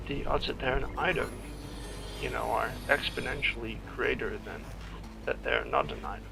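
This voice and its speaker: A man giving casual, rambling playthrough commentary on a video game, halting and trailing off mid-thought. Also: mid-range voice, creaky, rough recording. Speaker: gameplay commentator, screenwriter. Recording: game music behind commentary. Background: video game music.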